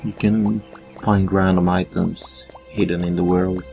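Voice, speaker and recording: A man with a Swedish accent giving relaxed let's-play commentary, thinking aloud as he plays. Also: low-pitched, vocal fry, soft background music. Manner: relaxed, thinking aloud while playing, slow with fillers. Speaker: gameplay commentator.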